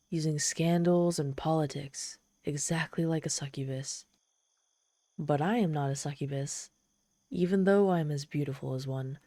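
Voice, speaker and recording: A woman plays a haughty female demon telling her tale straight to the listener in an ASMR roleplay. Her voice is slightly low and mellow, with a dry, roomless sound. She is theatrical and proud, yet easily flustered and exasperated. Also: dry recording, natural voice. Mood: haughty, boastful. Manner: haughty, theatrical, halting when embarrassed.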